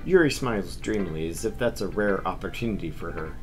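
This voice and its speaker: A man's voice: low voice, lively intonation, a little fast, faint music behind. A man hosting a gaming stream, chatting casually and reading aloud in an informal, joking way. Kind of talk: casual chat and visual novel read-aloud.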